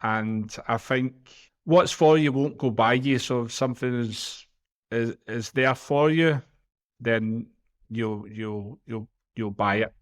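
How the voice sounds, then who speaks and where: A man's voice: medium pitch, slow pace. Man giving plain advice. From Glasgow, Scotland. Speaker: podcast co-host. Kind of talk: casual podcast chat.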